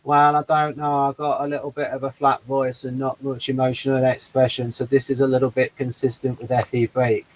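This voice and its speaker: A man hosting a casual discussion, making quick, rambling arguments about personality types. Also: medium pitch, level tone, rough recording. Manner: fast, rambling, argumentative explanations. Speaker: host.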